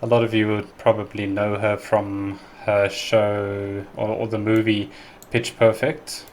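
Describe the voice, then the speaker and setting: A man's voice: lowish voice, unhurried, a little hiss or hum. A man from South Africa whose first language is Afrikaans, speaking English with a South African accent as he hosts a chatty reaction video. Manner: chatty and relaxed, with frequent ums. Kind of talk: casual reaction commentary.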